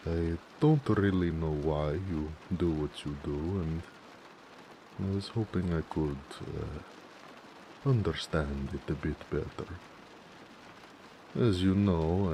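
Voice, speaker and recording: Casting: male actor as a tender, shy orc blacksmith for romance audio, very low and dark-toned, hesitant, homely. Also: a little hiss or hum. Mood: earnest, tender. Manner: soft-hearted, hesitant, with pauses and hedging. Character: gentle, bashful, affectionate male orc blacksmith, homebody. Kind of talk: intimate romantic audio roleplay acting.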